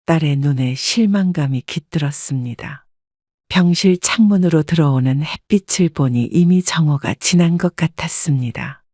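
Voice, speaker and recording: A woman calmly narrating a first-person life story in a measured, scripted way. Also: deep voice, no room sound, studio quality.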